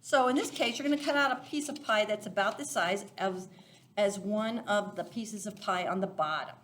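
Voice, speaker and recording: A woman's voice: mid-range voice, normal speed, a bit bright, slight background noise. A woman leading a children's Sunday school lesson, explaining things simply, step by step, as if to young listeners. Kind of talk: children's lesson, craft demonstration.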